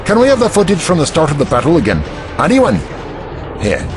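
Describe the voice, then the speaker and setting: A man's voice: a little high, wildly swooping pitch, a little fast, over music. A man with a Scottish accent playing an impatient, sardonic Prime Minister in an audio drama. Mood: commanding. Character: brusque, sarcastic Prime Minister commanding under attack.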